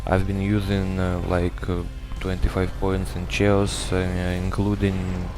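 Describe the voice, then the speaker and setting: Deep halting man with a Russian accent. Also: completely flat delivery. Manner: halting, hesitant, many filler sounds. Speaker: gamer giving a build guide. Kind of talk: game guide commentary.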